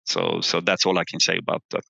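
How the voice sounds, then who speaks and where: A man presenting company results as an executive on a call, halting and often repeating words as he searches for phrasing. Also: deep voice, monotone, vocal fry, bright, forceful tone. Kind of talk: earnings call presentation and answers. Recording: muffled, echoey room on online call.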